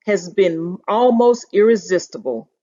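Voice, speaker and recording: A woman reading the lines of a lively, well-travelled old seaman in a storybook, with a reflective storytelling manner. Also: medium pitch, unhurried, highs cut off. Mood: fond, reflective.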